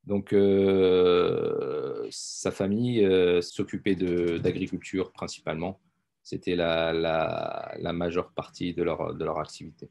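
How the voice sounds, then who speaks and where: Deep-voiced man, gravelly, middle-aged, halting with fillers. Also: fairly even intonation, warm tone. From France. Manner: hesitant, many fillers and repeated words. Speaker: guest, intensive care doctor. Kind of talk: casual interview talk.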